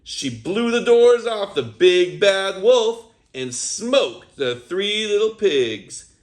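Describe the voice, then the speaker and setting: Animated high-voiced man reading to kids. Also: slow. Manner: animated storyteller, chatty asides to young listeners. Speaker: children's read-aloud host. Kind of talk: reading a picture book aloud to children.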